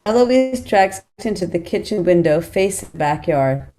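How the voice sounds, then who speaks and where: A woman's voice: lowish voice, lively intonation, moderate pace, dark tone. A woman reading screenplay stage directions as scripted narration.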